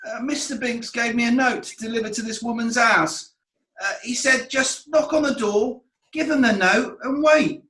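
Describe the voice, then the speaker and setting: A man's voice: extremely high pitch, normal speed, sharp tone, roomy sound. A man playing a cheeky eight-year-old errand boy in a comic courtroom play, with a Yorkshire accent and a hesitant, deferential manner. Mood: nervous, deferential. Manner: hesitant, deferential, self-correcting.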